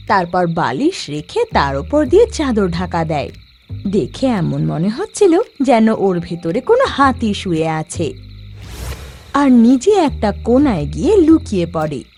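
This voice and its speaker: A female narrator tells a scripted cartoon story. Her voice has a middle pitch and moves with lively intonation, while music plays softly behind her. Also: a little slow. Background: soft background music.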